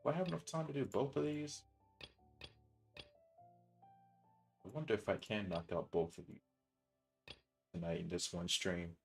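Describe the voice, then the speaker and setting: A man with a low voice streams a game and talks casually to his viewers. He rambles and thinks aloud in relaxed slang, with clear music playing behind him. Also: unhurried, long pauses. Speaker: game livestreamer. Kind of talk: casual livestream chat while playing. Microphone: streaming mic with noise suppression.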